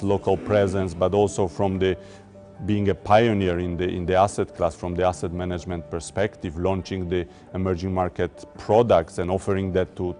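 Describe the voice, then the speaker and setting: A man from Poland, talking about his investment work in a corporate interview, measured and often repeating words as he looks for the phrasing. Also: deep voice, a little mellow. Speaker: emerging market debt investor. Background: faint music.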